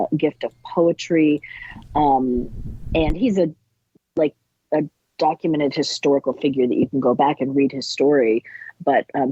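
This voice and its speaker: Woman guest on an interview, bookish but chatty, bit raspy, dull dry audio. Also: deep voice, dark tone, no echo. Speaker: guest author. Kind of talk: interview conversation on spiritual folklore.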